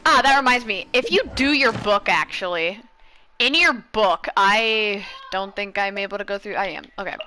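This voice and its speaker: A woman's voice: mid-range voice, moderate pace, pushed and bright, a little hiss or hum. A young woman chatting casually as she gives gameplay advice, rambling and thinking aloud. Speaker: gaming content creator. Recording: game music behind.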